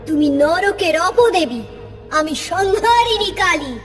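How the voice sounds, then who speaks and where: A woman's voice: very high-pitched, animated, takes it slowly, over music, exaggerated voice. A woman voicing a wrathful, commanding destroyer goddess in a dramatic cartoon horror story.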